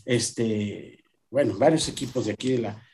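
A man's voice: mid-range voice, measured pace, slight background noise. A man, a professor chatting in an anecdotal way with frequent fillers. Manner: lively, anecdotal, many fillers. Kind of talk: conversational discussion.

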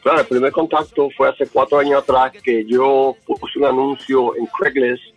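A man's voice: medium pitch, little pitch movement, moderate pace, dull audio, faint music behind. A man from the Dominican Republic, raised in Puerto Rico, speaking earnestly as an interviewee and often repeating words while he searches for them. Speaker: interviewee, bar owner. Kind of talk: remote interview.

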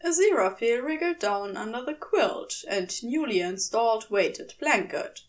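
High, expressive, unhurried woman narrator. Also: recorded from a distance. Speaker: amateur audiobook narrator. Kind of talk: expressive scripted fiction narration.

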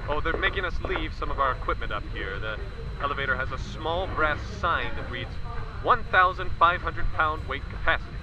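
An actor playing a seasoned war correspondent who reports live in a measured, descriptive way. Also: male voice, on the high side, expressive, dull audio, natural voice. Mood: steady reporting. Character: seasoned male war correspondent, steady then panicked. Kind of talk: radio drama acting.

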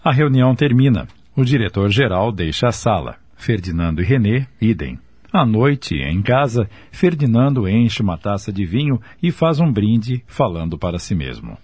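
A man's voice: on the low side, big pitch swings, moderate pace, a little mellow, breathy edge, no echo. A man narrating and announcing a scripted radio soap opera.

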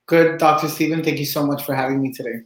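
A man's voice: a little high, fairly even intonation, quick pace. Upbeat young man from Cuba, echoey room. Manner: upbeat, chatty, many fillers. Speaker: bartender and real estate agent. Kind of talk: casual interview answers.

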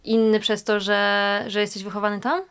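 A woman interviewer asking measured, probing questions. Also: mid-range voice, little pitch movement, a bit bright, clear, resonant tone.

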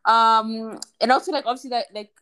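A woman's voice: a little high, steady tone, fairly quick, a bit bright, clear, resonant tone. A young woman co-hosting a podcast, chatting casually and hesitantly with many fillers. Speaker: podcast co-host. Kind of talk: casual chat.

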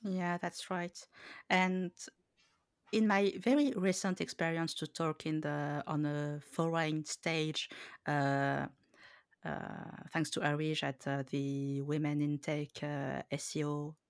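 A woman hosting a podcast, talking slowly and haltingly and restarting her words often. Her voice sits very low for a woman, and the sound is dry and close. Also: little pitch movement, dry recording. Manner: hesitant, halting, many restarts. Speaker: podcast host. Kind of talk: casual podcast conversation.

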